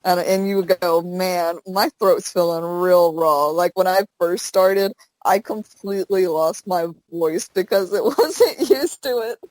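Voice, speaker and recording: Young southern streamer girl rambling on, lotsa "like"s, lower voice, dead dry headset sound. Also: measured pace, few pauses, a little mellow, no echo, low-quality recording. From American South. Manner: chatty, rambling with many fillers. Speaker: Twitch streamer, guest. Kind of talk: casual interview chat.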